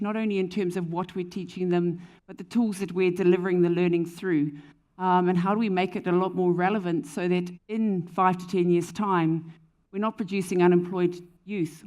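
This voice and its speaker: A woman from New Zealand speaks as a panelist at a business conference, her accent softened by years abroad. She talks fluently in a low, flat voice, leaning on "um" between points. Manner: fluent, frequent "um" fillers. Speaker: panelist, company founder. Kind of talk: panel discussion answer. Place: conference stage before an audience.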